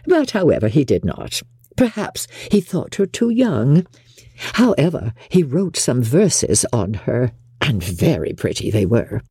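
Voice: medium pitch, big pitch swings, measured pace, dark tone, husky, dry recording, studio quality, heavily acted. A narrator voicing a fussy, gushing middle-aged mother who rambles and boasts in an audiobook. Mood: boastful. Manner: rambling, gossipy, self-satisfied. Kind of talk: audiobook character dialogue.

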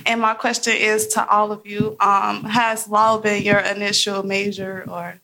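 A woman from Chicago asks a question from the audience at a panel. Her voice sits at a middle pitch and stays quite flat in intonation. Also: a little slow, a little hiss or hum. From Chicago, United States. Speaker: audience member asking a question.

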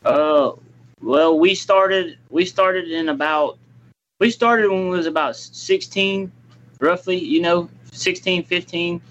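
A man's voice: high-pitched, steady tone, slow, fairly bright tone, muffled recording. A man in his twenties answering interview questions casually, restarting his phrases and replying politely with "yes, sir.". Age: 20s. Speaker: guest, young business owner.

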